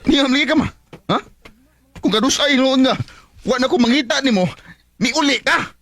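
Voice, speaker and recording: A man's voice: very high voice, moderate pace, gravelly, background noise. A man with a Visayan Filipino accent playing a bitter, emotional young son in a radio drama. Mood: bitter, defiant. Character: bitter, emotional young adult son, rebellious yet loving. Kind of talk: Bisaya radio drama acting.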